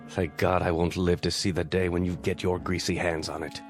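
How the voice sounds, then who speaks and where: Stern bitter old business man, low creaky voice, very formal, radio play with music under it. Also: little pitch movement, a little fast. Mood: bitter, wary. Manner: formal, clipped, disdainful, precise diction. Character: stern, embittered old businessman, a male rival. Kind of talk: audio drama acting.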